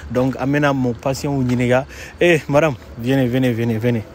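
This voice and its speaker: A young man hosting a casual street interview outdoors, bantering in an animated way into a handheld phone. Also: medium pitch, fairly quick. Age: young adult. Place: open paved outdoor plaza. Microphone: handheld phone shared with guest. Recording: clearly noisy outdoor phone recording.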